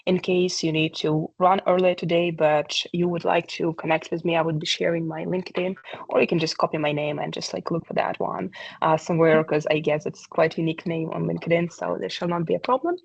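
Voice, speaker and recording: A woman's voice: lowish voice, level tone, a little fast, creaky, breathy edge, no room sound, dull audio. Woman presenting on a call. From Ukraine. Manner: hesitant, many fillers, earnest. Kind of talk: online meeting presentation. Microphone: video call.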